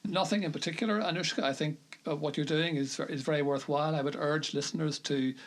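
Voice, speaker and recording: A man who is a law professor, answering interview questions in a measured, hesitant way and often repeating words. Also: on the high side, steady tone. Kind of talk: podcast interview.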